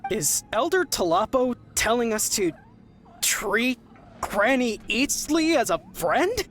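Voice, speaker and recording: A woman's voice: on the high side, big pitch swings, slow, soft background music. A woman voicing a skittish, easily panicked priestess in a video game. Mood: puzzled.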